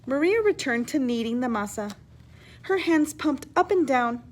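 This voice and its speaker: A woman with a fairly high voice reads a picture book as a teacher would for children. Her delivery is expressive and emphatic, and she steps out of the story to explain words and put questions to her listeners. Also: moderate pace. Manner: animated, emphatic reading with hushed asides. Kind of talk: expressive children's read-aloud with explanatory asides.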